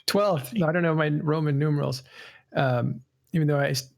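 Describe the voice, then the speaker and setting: Gravelly man hosting a panel chat. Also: fairly high pitch, fairly even intonation, moderate pace. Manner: rambling, self-correcting. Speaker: podcast host.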